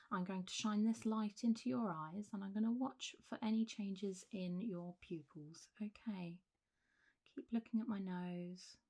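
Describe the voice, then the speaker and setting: A woman playing a calm, reassuring doctor in a soft-spoken ASMR roleplay, gently drifting in and out of whispers. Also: mid-range voice. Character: calm, reassuring female clinic doctor for zombie patients. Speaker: ASMR performer.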